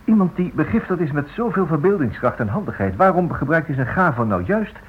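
Earnest, lively-pitched writer, slightly muffled. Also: male voice, fairly quick. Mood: probing, hesitant. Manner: hesitant, stammering, eager. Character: earnest, hesitant male writer researching a criminal. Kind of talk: radio play acting in Dutch. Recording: muffled, noisy old radio play recording.

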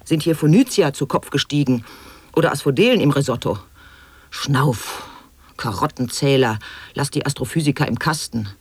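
A man's voice: mid-range voice, expressive, moderate pace, slightly rough, no room sound. An actor playing an eccentric man who declaims absurd wordplay in staccato lists for an experimental radio drama. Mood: haranguing.

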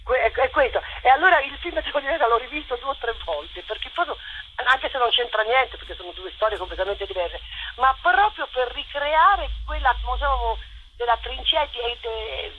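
A woman's voice: fairly high pitch, moderate pace, a little hiss or hum. Chatty woman on a muffled phone line. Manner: animated, rambling with many restarts. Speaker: theatre director. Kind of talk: radio interview.

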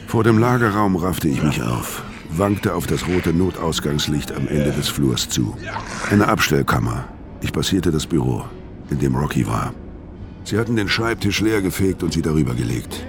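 Deep low male voice, bitter battered ex-hitman, terse grim crime radio drama narration, prominent music behind.

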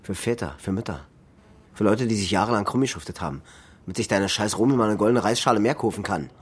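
A man's voice: fairly low pitch, fast, breathy edge. An actor playing a blustering, smug tabloid gossip king in a radio drama, chummy and condescending. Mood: mocking, threatening.

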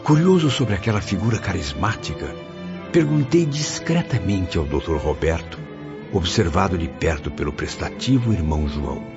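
A deep-voiced Brazilian man acting a reflective spirit narrator in a radio novel. His formal, measured delivery swings widely in pitch. Music plays clearly behind the dull recording. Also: sing-song. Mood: solemn. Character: curious male spirit narrator recalling his afterlife journey. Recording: dull lo-fi audio over music.

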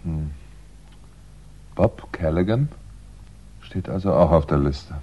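Calm thinky detective-writer man, deep voice, dull 60s radio drama sound. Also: slow, breathy edge, heavily acted. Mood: pensive. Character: calm male amateur sleuth, crime writer reasoning things out. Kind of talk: 1960s German radio crime drama acting. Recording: dull, muffled 1960s radio recording.

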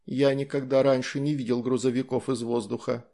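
A man voicing a male talking cat in an audiobook, confident but a little frightened, curious and excitable. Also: mid-range voice, brisk, lightly acted. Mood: uneasy.

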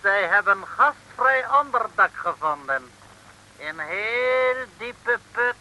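An actor playing a pompous, scheming island ruler as a broad comic caricature, speaking ceremonious pidgin in an old radio serial. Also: male voice, high-pitched, expressive, slow, sharp tone, heavily acted, rough recording. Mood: pompous, proclaiming. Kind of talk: 1950s Dutch comic radio serial acting.

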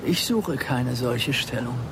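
An actor playing a mild, evasive, dignified undertaker of about sixty in a radio play, speaking with formal, unctuous funeral-parlour politeness. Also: male voice, mid-range voice, animated, slow, noisy background. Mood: guarded, weary.

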